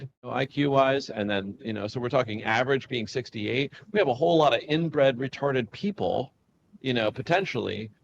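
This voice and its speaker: Male host, mid-pitched, rambling delivery, muffled recording with a faint rumble underneath. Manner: rambling, halting questions with repeated words. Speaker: podcast host. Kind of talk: casual interview chat.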